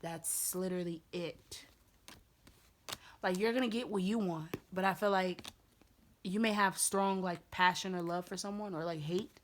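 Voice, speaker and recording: A woman with a slightly raspy voice giving a casual tarot reading, chatty and repetitive, with lots of fillers. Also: lowish voice, steady tone, normal speed, slight background noise. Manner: chatty, repeats herself with many fillers. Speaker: tarot reader.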